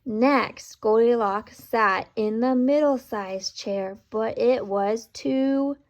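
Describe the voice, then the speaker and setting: A woman reading a children's story aloud with deliberate, dramatic emphasis, speaking directly to young listeners. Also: fairly high pitch. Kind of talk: expressive children's read-aloud with asides to listeners.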